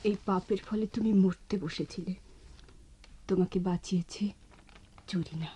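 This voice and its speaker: An actress playing a proud, wronged wife in a radio drama, with theatrical, rhetorical delivery. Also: female voice, medium pitch, steady tone, dark tone, breathy edge. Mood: accusing, bitter. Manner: theatrical, measured rhetorical delivery with repeated phrases. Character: wronged, accusing wife, proud, cutting, ultimately compassionate. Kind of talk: Bengali radio drama acting.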